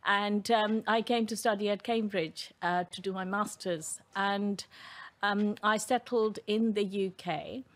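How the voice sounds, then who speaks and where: A woman's voice: mid-range voice, unhurried. A woman in her fifties from India, an architect telling her story as a radio guest at a studio mic. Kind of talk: panel interview answer. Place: room set up for broadcast. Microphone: studio mic, headphones.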